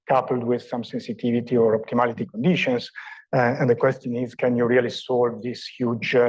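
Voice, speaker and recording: A man giving an academic lecture in a hall, speaking haltingly with frequent "uh" fillers. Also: mid-range voice. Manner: hesitant, many "uh" fillers, steady even delivery. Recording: dull, lo-fi lecture recording.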